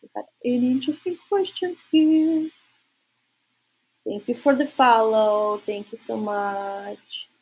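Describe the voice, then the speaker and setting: Russian accent woman chatting on a livestream, kinda high husky voice, talks right to viewers. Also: steady tone, slow, long pauses, a little mellow, rough recording. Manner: informal, addresses viewers directly. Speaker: livestream host. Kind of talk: casual livestream chat.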